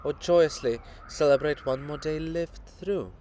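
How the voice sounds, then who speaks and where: A man's voice: on the high side, unhurried, mellow, slight background noise, lightly acted. A man voicing a sly, grandiloquent poet monument, teasing and persuasive, with emphatic theatrical delivery in a dramatic reading. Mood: urgent, fervent.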